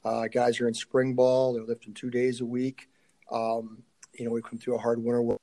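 Man, middle-aged, mid-pitched, casual interview talk, coach, halting, thinking aloud. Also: completely flat delivery, gravelly, dark tone. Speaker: college strength and conditioning coach being interviewed.

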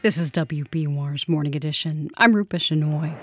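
A woman with a slightly low voice reads the morning news on public radio. Her delivery is measured and even, with faint music under her. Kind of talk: scripted news reading.

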